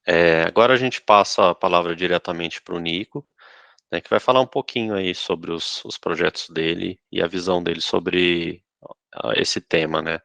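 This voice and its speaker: A man's voice: low voice, steady tone, moderate pace, creaky, sharp tone, no room sound. A man moderating a panel over a video call, keeping it brief and friendly as he hands the floor to the next speaker.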